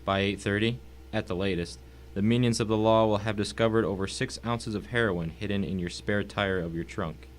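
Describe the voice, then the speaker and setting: Cultured, menacing older-world gentleman villain acted by a man, lowish voice, courteous and cold, audio story, faint hum behind. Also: fairly even intonation, fairly quick, hardly any pauses, lightly acted. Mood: courteously menacing. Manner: urbane, formal, mock-courteous. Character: calm, wealthy, menacing husband, cultured villain. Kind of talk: dramatic audio reading of a short story.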